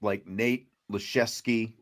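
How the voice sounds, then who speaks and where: A man's voice: on the low side, steady tone, slow pace, fairly bright tone. American man hosting casual sports talk. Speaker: sports talk show host.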